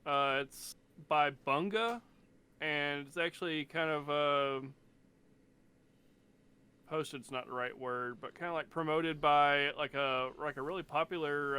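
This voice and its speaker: A man's voice: fairly high pitch, slow, pauses often, pushed and bright, clean tone. A man chatting casually as a podcast panelist, rambling a little as he searches for words. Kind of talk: casual chat.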